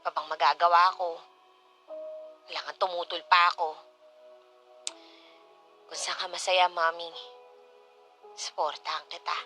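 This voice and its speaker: A woman's voice: lowish voice, level tone, a little slow, pauses often, bright, forceful tone, soft background music. A woman playing a headstrong, suspicious adult daughter in a Tagalog radio drama. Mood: resigned.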